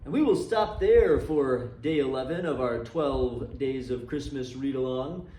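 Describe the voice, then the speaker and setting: A man reading a story aloud in a dramatic, expressive style with measured phrasing. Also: medium pitch. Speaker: library volunteer reader and host. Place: indoor room decorated for Christmas, seated. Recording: roomy echo, distant microphone.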